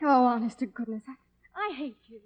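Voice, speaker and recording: An actress playing a plucky, sensible young studio script reader in an old radio drama, breezy and matter-of-fact. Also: female voice, sits high, lively intonation, moderate pace. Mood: exasperated. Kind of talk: mid-century radio drama acting. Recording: dull old radio recording.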